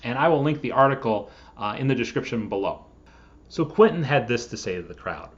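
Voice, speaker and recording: A man's voice: medium pitch, animated, brisk. A man hosting a scripted explainer video, speaking directly to viewers and posing rhetorical questions. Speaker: host of a screenwriting software channel. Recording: dull, lo-fi sound.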